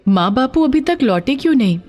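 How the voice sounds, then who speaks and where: A woman voicing a frightened, pleading poor orphan girl in an animated story, plaintive and drawn-out. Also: sits high, faint music behind, voice pushed up. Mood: worried.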